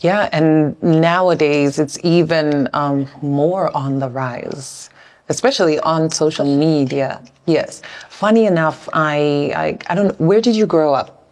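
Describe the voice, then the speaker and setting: Low-voiced woman interviewer. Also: takes it slowly, darkish tone. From South Sudan. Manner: thoughtful, repeats words while thinking. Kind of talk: conversational interview.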